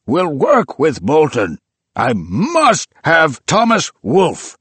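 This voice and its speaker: A man voicing a feverish, imperious old book collector who talks with fierce, rapturous command, raised higher and louder than his narrating voice. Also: very animated, slow, no echo.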